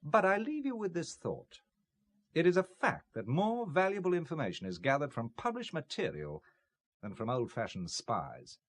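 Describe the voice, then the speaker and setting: A man's voice: on the high side, big pitch swings. An actor playing a principled businessman blackmailed into espionage, speaking with dry, bitter sarcasm in an audio drama. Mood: grave, triumphant. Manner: dry, sardonic, deliberate.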